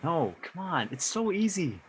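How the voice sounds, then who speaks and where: Casting: male actor as cocky, eager teen boy, teasing and casual, very animated pitch, audio drama. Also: a little slow, slight background noise. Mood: insistent. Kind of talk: live audio drama table read.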